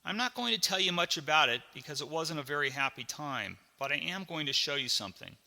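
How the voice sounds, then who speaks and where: A man's voice: fairly high pitch, expressive, a little fast, vocal fry, bright, forceful tone, in a large hall, heavily acted. A man voicing a tall, plain-spoken, easygoing father in an audiobook memoir. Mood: measured. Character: tall, matter-of-fact father, easygoing studio executive.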